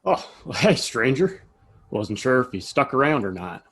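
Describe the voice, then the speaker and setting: A man playing a friendly, protective frontier saloon keeper in a western audio play, husky and folksy. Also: mid-range voice, very animated, moderate pace, a bit bright, full character voice. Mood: hearty, helpful.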